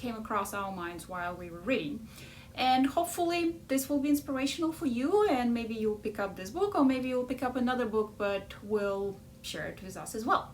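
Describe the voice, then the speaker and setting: A woman's voice: on the high side, expressive, fast talker, distant mic, a little hiss or hum. A middle-aged woman with a Ukrainian accent, discussing a book and coaching listeners in an encouraging way, often checking in with "right?". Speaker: life coach and podcast host.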